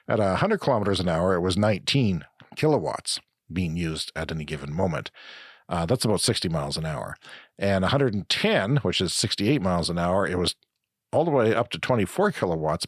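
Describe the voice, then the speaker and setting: Middle-aged podcast co-host, sick and raspy, low-pitched, meandering delivery, close dry mic. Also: male voice, dry recording. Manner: rambling, self-correcting explanations. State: raspy from flu. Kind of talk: casual chat.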